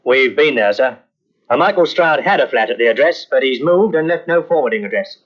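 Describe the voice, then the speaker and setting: An actor playing a matter-of-fact police officer in an old radio mystery, hesitant with fillers. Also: male voice, medium pitch, animated, fairly quick, natural voice. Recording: dull old radio transcription.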